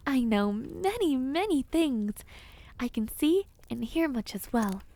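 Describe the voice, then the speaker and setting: Woman doing a sweet caring witch for a roleplay audio, high pitched, soft mannered n dreamy, talks kinda old-timey. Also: very animated, slow pace, exaggerated voice. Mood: chatty, fond. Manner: gentle, soothing, old-fashioned formal phrasing, easily distracted. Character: kind, dreamy peculiar witch, otherworldly and caring. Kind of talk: audio roleplay addressed to the listener.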